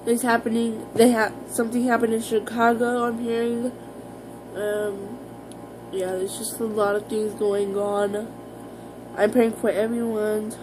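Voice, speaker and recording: An American woman talking casually to her viewers in an informal, halting, rambling vlog style. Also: a little high, rough recording. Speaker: vlogger.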